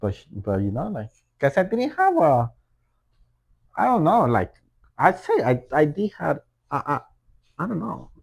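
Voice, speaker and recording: A man from El Salvador with a thick Salvadoran accent, co-hosting a casual podcast chat in a playful, joking way, halting and restarting as he talks. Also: fairly high pitch, huge pitch swings, gravelly, breathy edge. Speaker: podcast co-host.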